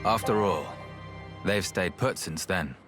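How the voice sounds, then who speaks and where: A weary, level-headed researcher in a game. His voice is low and understated, thoughtful and pragmatic, over a clearly audible music track. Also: slow pace. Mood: sober, resigned. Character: calm, measured male researcher, weary and pragmatic elder. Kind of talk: video game voice acting.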